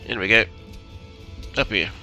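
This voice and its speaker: A man's voice: lowish voice, measured pace, over music. A man giving casual commentary on his game, muttering to himself and tacking little questions onto remarks. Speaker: gamer commentating a playthrough.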